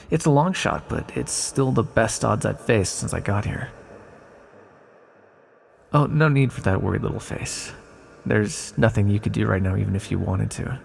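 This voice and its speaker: A man voicing an ancient imprisoned villain in an ASMR roleplay, sly, lonely and tenderly flirtatious, speaking in an intimate, teasing, musing way with dramatic pauses. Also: on the low side, gravelly, slightly rough, heavily acted. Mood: intrigued, scheming. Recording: long reverberant echo.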